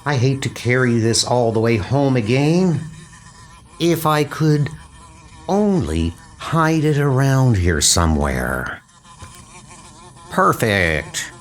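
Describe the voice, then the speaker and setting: Man acting an earnest, deliberate schoolboy bug collector. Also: medium pitch, very animated. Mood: musing. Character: earnest polite schoolboy, keen young insect collector. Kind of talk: comic book dub, dramatized reading.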